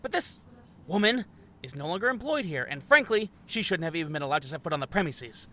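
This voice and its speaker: Sharp, snippy male office manager played by an actor. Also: fairly high pitch, very animated, fast talker. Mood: indignant. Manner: indignant, haughty, clipped. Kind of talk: comedy audio drama acting.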